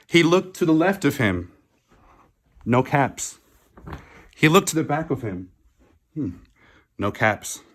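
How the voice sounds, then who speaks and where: A man reading a children's picture book aloud in an expressive, deliberate way with dramatic pauses. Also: fairly high pitch. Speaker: storybook reader.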